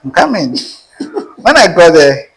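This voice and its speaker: Husky Nigerian man telling a story. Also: on the high side, unhurried, poor audio. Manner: animated, acts out quoted dialogue. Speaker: interview guest. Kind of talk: casual storytelling.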